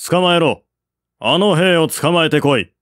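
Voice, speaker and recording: A man's voice: on the high side, animated, normal speed, a bit bright, no echo, clean studio sound, voice pushed up. Stern, unyielding army commander, read by a man. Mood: commanding. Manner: commanding, formal, admonishing. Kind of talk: audiobook character acting.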